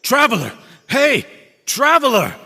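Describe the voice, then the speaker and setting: Anxious convict, urgent pleading, bright strained tone, reverberant hall, video game. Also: male voice, very high voice, huge pitch swings, unhurried, exaggerated voice. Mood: desperate. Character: anxious, pleading male prisoner, desperate for answers.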